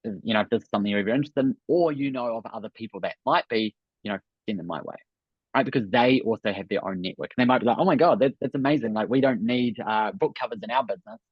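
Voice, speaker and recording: A man's voice: lowish voice, fast talker, breathy edge, dry recording, highs cut off. A man in his thirties with a New Zealand accent, giving an upbeat webinar talk as a business coach and often adding "right?". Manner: upbeat, repeats "right?" and "really, really".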